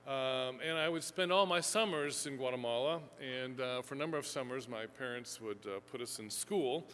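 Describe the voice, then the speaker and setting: A man's voice: mid-range voice, moderate pace, a bit bright. A man giving a rambling, filler-heavy lecture to a live audience from a fixed lectern mic, self-deprecating and chatty. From Houston, Texas, USA. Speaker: seminary professor giving a talk. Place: lecture hall. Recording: echoey hall with faint hum.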